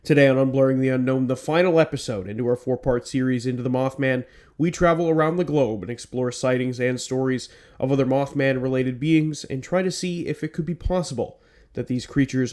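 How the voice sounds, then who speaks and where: A man's voice: mid-range voice, moderate pace, a little mellow. A man hosting his own podcast, sounding stuffed up from a cold, moving from scripted narration into rambling talk full of ums. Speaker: podcast host. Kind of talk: scripted narration then casual chat.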